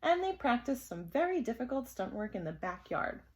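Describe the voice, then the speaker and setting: A woman storyteller reading a children's book aloud in an expressive, dramatic style. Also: on the high side, moderate pace.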